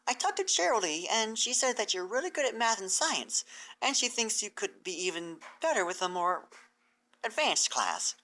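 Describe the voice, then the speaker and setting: Woman voicing an eager, high-pitched bookish pony scholar. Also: sharp tone. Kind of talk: fan fiction character reading.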